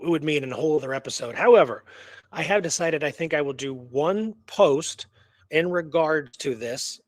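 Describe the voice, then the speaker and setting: A man with a slightly higher-than-average voice talks as a radio show host. He tells a historical case informally, with slangy wording and repeated emphasis. The sound is dry, with little room echo. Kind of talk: casual talk retelling a historical case.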